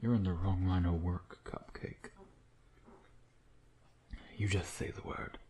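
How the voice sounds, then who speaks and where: A man acting a slow, deep-voiced seductive vampire. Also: fairly even intonation, background noise. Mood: seductive, hushed. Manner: slow, teasing, murmuring with pet names. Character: suave predatory male vampire, teasing and seductive. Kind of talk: intimate ASMR roleplay.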